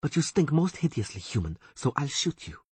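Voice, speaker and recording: A man's voice: a little high, very animated, fairly quick, a little mellow, breathy edge, no echo, voice pushed up, full character voice. A man reading a story, voicing a cruel, spiteful young female elf warrior who hisses her taunts. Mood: hissing contempt. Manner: hissing, contemptuous taunts.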